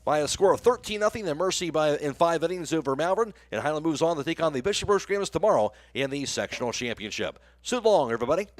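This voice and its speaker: Higher-pitched man, radio sports announcer. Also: expressive, quick pace, hardly any pauses. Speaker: play-by-play broadcaster and sponsor reader. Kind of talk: radio sports sign-off and scripted sponsor reads.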